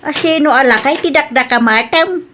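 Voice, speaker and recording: A woman's voice: high-pitched, moderate pace, sharp tone, slight background noise. A woman playing a motherly, plain-spoken older village storekeeper in an audio drama, with an Ilocano accent. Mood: chatty, curious.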